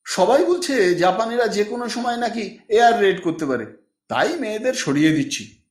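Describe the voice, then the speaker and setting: A chatty middle-aged man, voiced by a male narrator, speaks as a familiar friend in a storybook. His matter-of-fact talk is fairly high in pitch, with room echo. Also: sing-song, moderate pace, far from the mic. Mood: concerned. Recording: echoey room.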